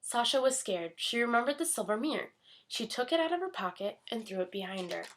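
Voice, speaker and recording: A woman reading a children's storybook aloud in an expressive scripted narration style. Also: a little high, brisk. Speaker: storybook reader.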